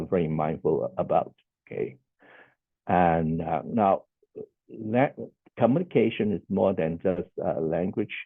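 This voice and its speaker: A very deep-voiced man presents as a social worker over a video call, with a husky, gravelly tone. He talks in a measured, deliberate way and sums up each point with "okay". The sound is tinny and phone-like, close and dry, with no room echo. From Hong Kong. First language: Cantonese. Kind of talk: conference presentation.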